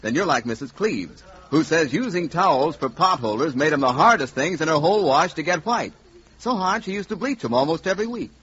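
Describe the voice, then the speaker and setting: Bright, animated 1940s American radio announcer, male. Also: on the high side, husky. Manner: emphatic sales delivery. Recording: muffled 1940s radio recording.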